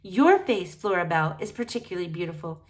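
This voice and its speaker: A woman's voice: fairly low pitch, animated, fairly quick, close to an everyday voice. A woman voicing a wordy, earnest young boy in a children's storybook, speaking in a formal, effusive manner. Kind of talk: acted children's storybook dialogue.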